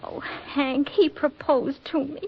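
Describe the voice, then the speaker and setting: Very high voice, wry romantic lady photographer, theatrical 1940s radio acting, muffled old recording. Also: steady tone, slow pace. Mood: confessional. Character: quick-witted, romantic woman magazine photographer.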